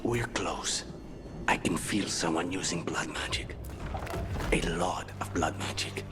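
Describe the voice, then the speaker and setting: An actor playing a grim, vengeful assassin in a video game, speaking tersely and intensely. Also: male voice, sits low, slow. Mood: intense, focused. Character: grim, vengeful male assassin, quietly menacing. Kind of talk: dramatic video game acting. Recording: game cutscene with loud background music.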